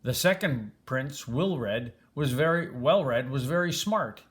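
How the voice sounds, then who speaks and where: An older man reading a children's storybook aloud in an expressive, grandfatherly way, stressing and stretching words. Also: medium pitch, slow.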